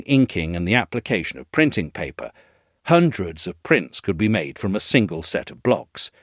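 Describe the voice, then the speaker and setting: A man reading a scripted audio essay in a measured, articulate storytelling manner. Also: lowish voice, no room sound, clean studio sound. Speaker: essay narrator, reader.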